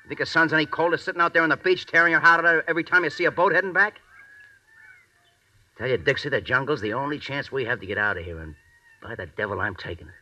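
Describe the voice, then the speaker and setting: An actor playing a hardened, hot-tempered convict in a 1940s radio drama, talking in gruff, impatient slang. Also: male voice, on the low side, fast talker, sharp tone, distant mic. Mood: angry, impatient. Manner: gruff, slangy, impatient tough-guy talk. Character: hardened, hot-tempered convict fugitive hunting butterflies in jungle. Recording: muffled, hissy old radio recording.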